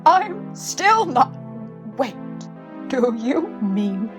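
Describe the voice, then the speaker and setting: An imperious villain queen in an audio drama. Her high voice swings theatrically and moves very slowly, haughty and scornful, with music playing behind it. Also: big pitch swings, heavily acted. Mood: suspicious. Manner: haughty, dramatic, slow and deliberate. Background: music under the voice.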